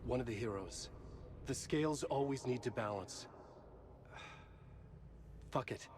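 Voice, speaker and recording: An actor playing a tormented, guilt-ridden horror writer in a video game cutscene, brooding and urgent. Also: male voice, medium pitch, slow, noisy background, close to an everyday voice. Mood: resolute.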